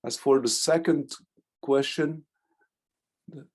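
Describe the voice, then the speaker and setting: A middle-aged man from Algeria, a guest speaker and poet answering audience questions in an online talk, pausing thoughtfully to search for words. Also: mid-range voice, steady tone, a little mellow, slightly rough. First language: Algerian Arabic (Darija). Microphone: video call.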